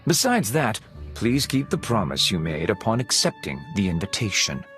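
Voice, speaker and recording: A man's voice: fairly low pitch, very animated, normal speed, a bit bright. A man playing a courteous, guarded manor butler with formal, deferential speech in a game cutscene. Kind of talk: video game cutscene dialogue. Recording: music behind the voice.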